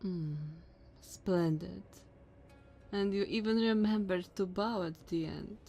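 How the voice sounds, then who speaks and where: Woman doing a tall vampire noblewoman for asmr roleplay, really slow and drawn out, haughty, bossy, mocking, talks all formal. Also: medium pitch, dark tone, soft background music. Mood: imperious, appraising. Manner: slow, condescending, theatrical formal diction.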